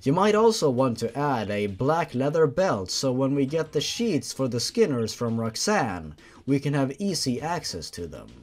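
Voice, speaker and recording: A man's voice: medium pitch, sing-song, moderate pace, hardly any pauses. A man voicing a sinister, scheming inner voice in a story, giving orders conspiratorially. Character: sinister, scheming inner voice goading a teenage girl. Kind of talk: dramatic story reading. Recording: music behind the voice.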